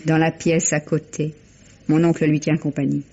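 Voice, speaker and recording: A woman's voice: deep voice, level tone, moderate pace, warm tone. An actress playing a gracious, composed lady hostess in a vintage French radio mystery, polite and well-spoken. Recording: dull vintage radio recording, faint hiss.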